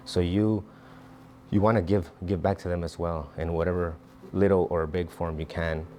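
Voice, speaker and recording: A man's voice: sits low, steady tone, moderate pace, darkish tone, slight background noise. A man from Ciudad Juarez, Mexico, earnestly recalling his path into motorsports medicine in an interview. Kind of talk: reflective interview testimony. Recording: music bed underneath.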